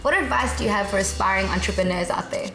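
Tv presenter lady in her 30s, gushing questions, mid pitch, music under. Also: fast talker, vocal fry, bright, forceful tone. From South Africa. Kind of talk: magazine show interviewing. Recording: music bed under speech. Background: background music.

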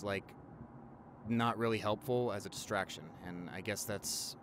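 A man's voice: on the low side, background noise, lightly acted. A man playing an affectionate doctor boyfriend in his forties, talking casually and a little hesitantly in an intimate audio roleplay. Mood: earnest, affectionate. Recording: car ride ambience behind the voice.

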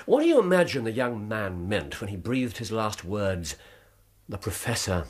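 An actor playing a shrewd, polite gentleman detective in a radio drama, British, courteous and probing. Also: lowish voice, sing-song, moderate pace, breathy edge.

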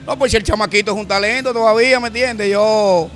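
Fast, high-pitched middle-aged Dominican man. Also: a bit bright. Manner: repetitive, keeps checking he is understood. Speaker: passerby interviewee. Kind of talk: casual street chat. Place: outdoors on a sidewalk by a shop. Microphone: interviewer's handheld microphone.

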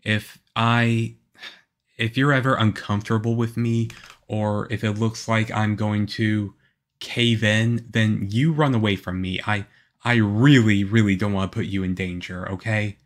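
A man playing a shy, moody, lonely young half-vampire boyfriend in an ASMR roleplay, with a hesitant, halting, earnest delivery. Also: lowish voice, steady tone, natural voice. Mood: wistful, earnest.

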